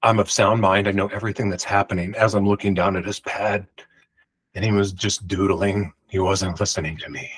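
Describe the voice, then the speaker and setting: A man in his forties telling a rambling personal story to camera in a room at home, talking emphatically and projecting loudly. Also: low-pitched, fairly even intonation, a little fast, slightly rough. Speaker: vlogger. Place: home room with pictures on the walls. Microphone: large studio mic beside him.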